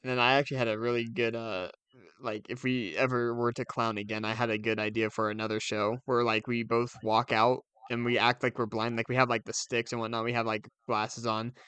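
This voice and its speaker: Smooth-voiced young man in casual chat. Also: mid-range voice, level tone, brisk, pushed and bright, dry recording. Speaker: podcast co-host.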